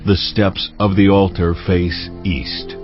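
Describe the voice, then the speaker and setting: God, very deep, solemn voice. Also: male voice, level tone. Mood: solemn, commanding. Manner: solemn, deliberate, authoritative. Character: God speaking solemnly to his prophet, commanding and stern. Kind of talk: dramatized scripture reading. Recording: dull sound over music bed.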